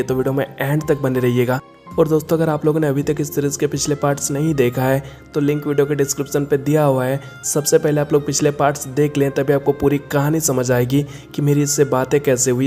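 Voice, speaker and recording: A man's voice: medium pitch, dark tone. A man narrating a video introduction as the channel's host, addressing viewers directly. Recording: music under the voice. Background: background music.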